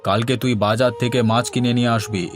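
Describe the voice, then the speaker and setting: A man's voice: mid-range voice, steady tone, a little fast, music in the background, lightly acted. A man voicing a lazy, greedy, scolding older brother in a cartoon folktale. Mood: bossy, dismissive. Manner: scolding, demanding, blunt.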